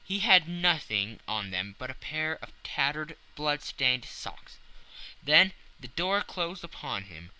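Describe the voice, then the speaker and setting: A man's voice: on the high side, big pitch swings, takes it slowly, pushed and bright, smooth, low-quality recording. A man narrating classic fiction as a dramatic scripted audiobook.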